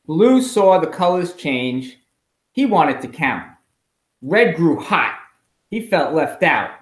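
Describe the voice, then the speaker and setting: A man reading a picture book aloud to children in an expressive, emphatic storytelling way, as a school principal at home. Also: on the high side, slow. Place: at home, indoors. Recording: roomy, echoey home recording.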